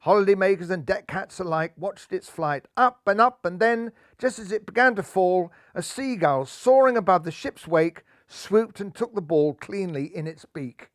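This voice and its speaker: An older man reading a children's picture book aloud in a lively way, seated indoors with a clip-on mic. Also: high-pitched, normal speed, few pauses, dry recording, clean studio sound. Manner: lively, does voices for characters. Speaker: storybook reader. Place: indoor room full of cuddly toys.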